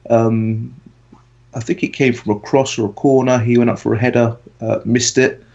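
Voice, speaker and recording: A man's voice: mid-range voice, little pitch movement, normal speed, vocal fry, a little mellow. A man in his late thirties from England, co-hosting a casual football podcast, talking in a rambling, hesitant way full of ums. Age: 30s. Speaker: podcast co-host. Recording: dull lo-fi audio.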